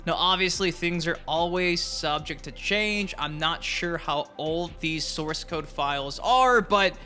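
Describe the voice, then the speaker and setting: A man hosts a gaming news video in a forceful, bright voice that sits a little high. His delivery is informal and chatty, and he leans on words for emphasis. Music plays softly behind him. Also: unhurried. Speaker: YouTube gaming news host. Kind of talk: casual gaming news commentary. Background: background music.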